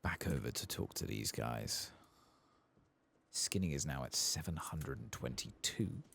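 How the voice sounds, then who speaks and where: A man giving soft-spoken ASMR commentary over a game playthrough in an unhurried murmur. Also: extremely low pitch, lively intonation, gravelly, breathy edge. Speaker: ASMR gaming streamer.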